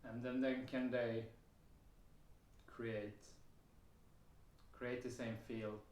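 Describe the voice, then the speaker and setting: Slow, hesitant man with a Swedish accent. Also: medium pitch, level tone, a little hiss or hum. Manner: hesitant, many fillers and restarts, occasional soft laughs. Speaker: vlogger sharing personal experience. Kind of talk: casual personal testimony to camera. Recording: roomy echo.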